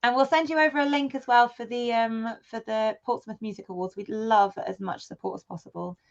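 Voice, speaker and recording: A woman's voice: on the high side, fairly even intonation, fairly quick, dull audio. A woman from the United Kingdom, a studio co-founder, talking in an enthusiastic, chatty way as a guest in an interview. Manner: enthusiastic, chatty, with many fillers. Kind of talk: casual interview chat.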